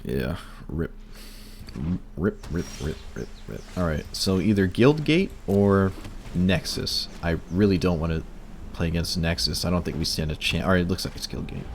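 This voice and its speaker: A man streaming a card game, talking through his plays in casual commentary, hoarse and losing his voice, wry and muttering at bad luck. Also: deep voice, expressive, normal speed, slight background noise. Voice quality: breaking, cracking voice.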